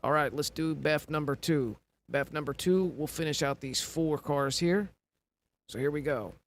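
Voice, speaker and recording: A man's voice: fairly high pitch, normal speed. A man with a scratchy voice narrating a casual step-by-step game tutorial, walking through each step plainly. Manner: instructional, walks through steps plainly. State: scratchy voice from recovering illness.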